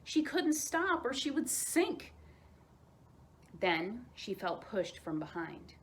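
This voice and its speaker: Animated woman reading a children's story. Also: fairly high pitch, slow, slight background noise. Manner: expressive, dramatized reading. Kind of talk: read-aloud children's story narration.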